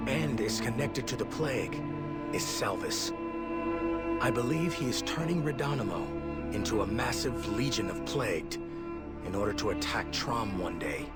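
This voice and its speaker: An actor playing a grave, determined militia captain in a fantasy game, speaking in a solemn, measured way. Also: male voice, mid-range voice, lightly acted. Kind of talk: dramatic scripted game narration. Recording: loud music under the voice.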